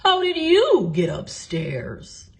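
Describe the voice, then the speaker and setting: An alert storybook night watchman, a man played by a woman. He questions and exclaims in a puzzled tone, with big swings in pitch. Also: huge pitch swings, takes it slowly, darkish tone, heavily acted.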